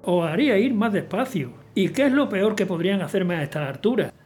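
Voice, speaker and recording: An actor playing a gentle, resigned old grandfather who stays behind. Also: high-pitched, expressive, a little fast, faint music behind.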